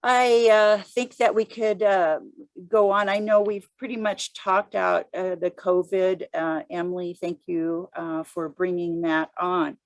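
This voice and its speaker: A woman moderating an informal work conference call, speaking in halting, deliberate phrases. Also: lowish voice, fairly even intonation, no room sound.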